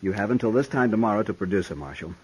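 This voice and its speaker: An actor playing a haughty, threatening young gentleman, stiff and demanding, in a 1950s western radio drama. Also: fairly low pitch, lively intonation, racing, slightly rough. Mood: cold, threatening. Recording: noisy 1950s radio recording.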